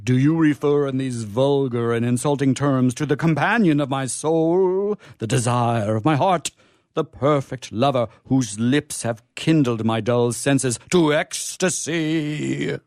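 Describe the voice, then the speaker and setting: A man's voice: medium pitch, unhurried, darkish tone, dry recording, studio quality, close to an everyday voice. An actor playing a lofty, ardent male angel turned mortal, speaking in a stately, florid way for a stage comedy. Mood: offended, ardent. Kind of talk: theatrical stage comedy acting.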